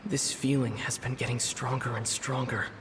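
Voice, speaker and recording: Pensive young traveler in reverb. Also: male voice, medium pitch, steady tone, background noise. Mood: pensive, uneasy. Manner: musing, deliberate. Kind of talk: video game inner monologue. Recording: long echoing reverb. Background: music.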